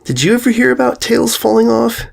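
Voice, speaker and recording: A man voicing a shy, anxious young schoolgirl pony in a storybook reading, stammering and hushed. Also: extremely high pitch, fairly quick, vocal fry, pitched above the performer's own voice, heavily acted. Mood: nervous, hushed.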